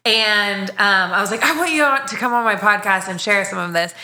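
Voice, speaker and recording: A woman's voice: medium pitch, a little fast, sharp tone, roomy sound. A woman hosting a podcast, chatting casually with many fillers and frequent laughs. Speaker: podcast host.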